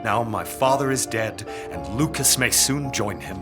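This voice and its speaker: A man's voice: mid-range voice, lively intonation, unhurried, over loud music, lightly acted. A man playing a brooding, self-doubting lord in an audio drama, courteous and subdued in his weary, formal speech. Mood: self-reproachful, bleak.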